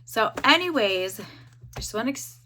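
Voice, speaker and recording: A young woman with a hoarse voice, casually reviewing a hair dye and rambling with lots of fillers. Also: on the high side, expressive, a little slow, sharp tone. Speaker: beauty vlogger.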